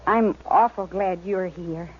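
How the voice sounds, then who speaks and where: A woman's voice: lowish voice, slow, slight background noise. An actress playing a timid, earnest fifteen-year-old prairie girl in a 1950s radio western, hesitant and deferential, with a rural American accent. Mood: grateful. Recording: muffled old radio broadcast.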